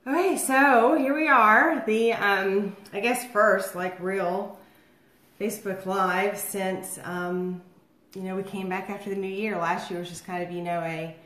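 A woman's voice: medium pitch, steady tone, measured pace, fairly bright tone. A woman in her thirties talks her way loosely through a home livestream, full of fillers and asides. She is getting over a cold, so her voice is a bit nasal and phlegmy. It is picked up by a phone across the table in an echoey dining room. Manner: rambling and chatty, many fillers. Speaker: host of a home cocktail livestream. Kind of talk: casual livestream chat. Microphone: phone or webcam across the table.

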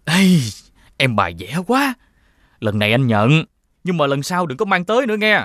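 An actor playing a greedy, boastful and suspicious rich elder brother in an animated fairy tale, with a condescending manner. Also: male voice, fairly high pitch, moderate pace, no room sound, studio quality. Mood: smug, expansive. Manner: condescending, expansive.

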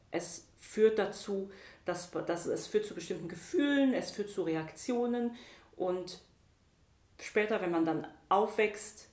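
A woman's voice: mid-range voice, a little mellow. A middle-aged woman from Cameroon answering interview questions reflectively, searching for words and often repeating them. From Yaoundé, Cameroon. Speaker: musician and singer being interviewed. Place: small bright room indoors, seated by a table. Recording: roomy echo, music underneath.

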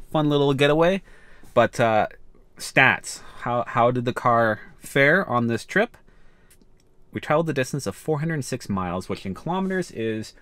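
A man's voice: on the low side, a bit bright, no echo. Chatty young man vlogging a road trip. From Canada. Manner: chatty, rambling with restarts. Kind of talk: casual road trip vlog.

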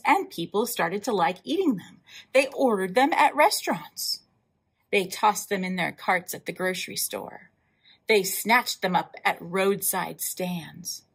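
A woman hosting a library storytime, reading a picture book aloud in an animated way, with emphatic drawn-out words and asides to young listeners. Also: mid-range voice, slightly rough. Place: indoors, in front of a wall with floral decals.

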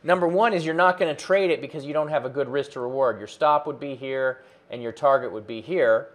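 Man in his forties, mid-pitched, steady teacher walking viewers through a chart; close desk mic, small home office. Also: brisk. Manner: plain explanatory, pointing at the chart as he talks. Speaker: trading educator. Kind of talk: screen-share chart tutorial. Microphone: close desk mic, webcam framing.

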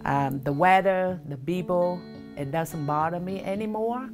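A woman's voice: lowish voice, measured pace, over music. A middle-aged woman speaking in a reflective, hesitant way in an interview.

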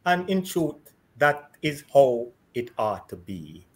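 A man's voice: medium pitch, big pitch swings. A middle-aged man hosting a live interview over a video call from his home, laughing often between questions. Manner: cheerful, laughs often, quick bursts. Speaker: host. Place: home room with shelves. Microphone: video call webcam.

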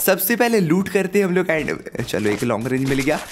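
A young man with a sore throat giving excited live commentary over his own mobile battle royale match, hyping up his kills and laughing often, speaking into a headset at home. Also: a little high, moderate pace, background noise. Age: young adult. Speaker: gaming YouTuber. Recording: game gunfire behind.

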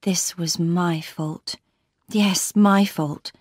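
A woman voicing a steady, protective young heroine in an audiobook, speaking gravely and deliberately. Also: on the low side, dry recording, clean studio sound, lightly acted. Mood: remorseful. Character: protective, remorseful sister figure, steady and grave.

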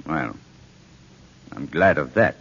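An actor playing a cold, condescending, manipulative husband in an old radio drama, needling and then sharply commanding. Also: male voice, a bass voice, expressive, slow, heavily acted. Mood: pressing, irritated. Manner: smooth-worded needling, switching to sharp command. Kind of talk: 1940s radio drama acting. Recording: muffled old radio broadcast with long reverb.

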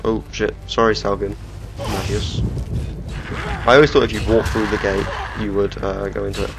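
A man's voice: lowish voice, level tone, moderate pace, background noise. A young man with a cold, chatting casually through a let's play of a game, swearing now and then. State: bunged up with a cold. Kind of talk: casual gameplay commentary. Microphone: desktop microphone. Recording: game music playing underneath.

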